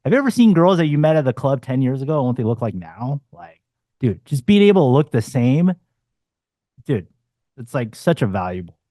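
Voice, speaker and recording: Dating coach, male, 40s, blunt and profane, mellow tone, dry close sound. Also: mid-range voice, very animated, brisk, no room sound. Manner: blunt, swearing, punchy asides. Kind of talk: casual panel discussion.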